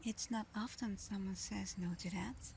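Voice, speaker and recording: A mischievous, ancient female genie acted by a woman speaks in a soft, mellow voice at an unhurried pace. She is flirty, sly and teasing, playing directly to the listener in an ASMR roleplay. Noticeable background noise sits under the voice. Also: medium pitch, steady tone, vocal fry. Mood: seductive, teasing. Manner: teasing, seductive, slow and playful, often dropping to whispers.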